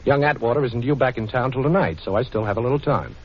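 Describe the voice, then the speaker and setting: An actor playing a cocky, chivalrous amateur sleuth in a 1940s radio crime drama, breezy and reassuring. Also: male voice, medium pitch, fast, slightly rough, lightly acted. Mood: determined, breezy. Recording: muffled, noisy 1940s radio recording.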